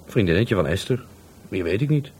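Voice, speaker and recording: A man's voice: on the low side, lively intonation, a little fast, a little mellow, slight background noise, natural voice, low-quality recording. A man playing a cagey, cheeky market trader in a Dutch radio play, breezy, slangy and evasive. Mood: cagey, flippant.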